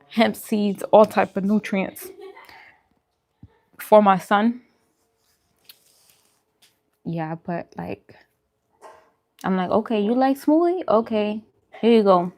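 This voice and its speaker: A woman's voice: mid-range voice, a little mellow. A woman chatting informally with her live-stream viewers, answering comments as she goes. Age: adult, parent. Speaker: food vlogger and live streamer.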